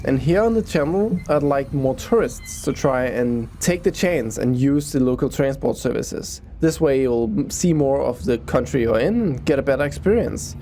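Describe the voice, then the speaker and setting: A man's voice: mid-range voice, warm tone, a little hiss or hum. A Danish man with a Danish accent, a native Danish speaker, talking in English as a transit vlogger. He explains things in an earnest, informal way. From Denmark.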